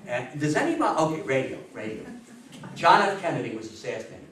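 A man's voice: fairly high pitch, big pitch swings, fast, crowd noise. A man in his seventies giving an informal talk to a room, telling anecdotes animatedly and repeating phrases for emphasis. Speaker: speaker giving a history talk. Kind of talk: informal lecture with anecdotes and audience questions. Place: meeting room before a seated audience. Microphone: far camera. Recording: roomy echo, distant camera microphone.

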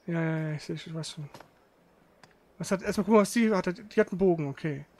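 A man's voice: on the high side, fairly quick, a little mellow. A man doing casual gaming commentary, thinking aloud in a slangy, grumbling way. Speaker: let's player. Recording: clear background noise.

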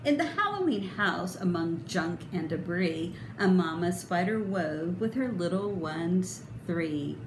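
A woman's voice: on the low side, animated, measured pace, hardly any pauses. A middle-aged woman reading a children's picture book aloud with theatrical, emphatic, sing-song expression. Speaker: storybook reader. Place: indoor room. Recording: roomy echo, clear background noise.

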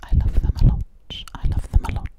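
A woman's voice: brisk, close to an everyday voice. A woman playing a sweet, slightly sassy alien girl in an ASMR roleplay, whispering breathily close to the microphone and repeating her words soothingly. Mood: soothing, playful. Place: dim living room indoors. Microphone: close-up at a large ASMR microphone.

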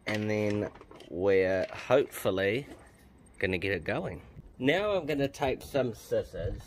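Slow-talking, low-voiced man. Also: lively intonation, slight background noise. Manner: offhand, narrates each step as he works. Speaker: host of a car modification vlog. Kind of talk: casual DIY commentary while working. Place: outdoors beside a car's open bonnet.

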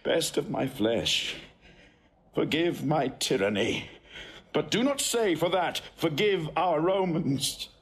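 An actor playing a proud, vengeful Roman general torn by family love, declaiming gravely and with passion in a classical Shakespearean audio drama. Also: male voice, on the high side, lively intonation, slow. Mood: impassioned. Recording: faint background noise.

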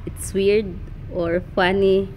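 Mellow-voiced woman interviewer. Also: medium pitch, level tone, slow, a little hiss or hum, poor audio. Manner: short prompts and murmured backchannels. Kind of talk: casual interview questions.

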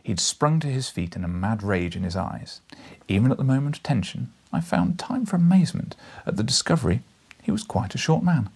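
A man in his thirties narrating an audiobook in a dramatic, expressive storytelling style. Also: mid-range voice, brisk, vocal fry, husky. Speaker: audiobook reader. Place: small living room, indoors, seated in armchair.

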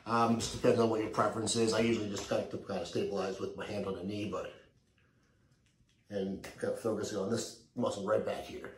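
A middle-aged man, a fitness coach, casually talking through a home workout in a relaxed, easygoing way, recorded by a camera a few meters away in a living room. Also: on the low side, fairly quick, echoey room, slight background noise, rough recording.

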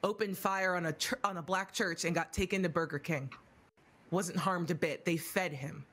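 A young woman speaking in a measured, pointed way, building her argument step by step as a panelist, on a headset at home. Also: lowish voice, fairly even intonation, fairly bright tone. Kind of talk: impassioned roundtable discussion. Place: home room, indoors.

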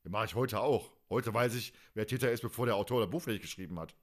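A man in his forties from Germany chatting casually as a podcast host, in an animated, rambling, colloquial way. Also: lowish voice, fast talker. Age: 40s. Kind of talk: casual chat.

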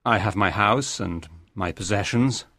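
An actor playing a reserved, honourable Victorian gentleman, restrained, formal and sincere, in an audiobook. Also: on the low side, a little slow, dry recording, clean studio sound. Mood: resigned.

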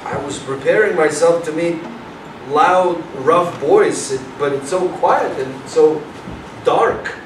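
Guy playing young cop in a stage play, polite but probing, really slow talking, kinda far from the mic. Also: a little high. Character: polite, inquisitive young police detective in 1911 Chicago. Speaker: stage actor. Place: small indoor venue with audience. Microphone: far camera. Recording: echoey room, distant mic, faint noise.

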